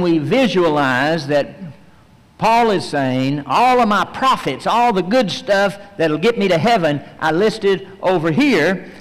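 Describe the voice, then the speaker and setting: A man preaching a verse-by-verse sermon, teaching slowly and with emphasis, pausing often with fillers. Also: on the high side. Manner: slow, emphatic teaching with frequent pauses and fillers. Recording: long hall reverb.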